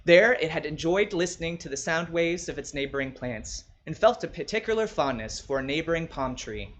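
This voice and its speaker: A man's voice: a little high, lively intonation, brisk, fairly bright tone. A man reading his own short story aloud as a scripted narration.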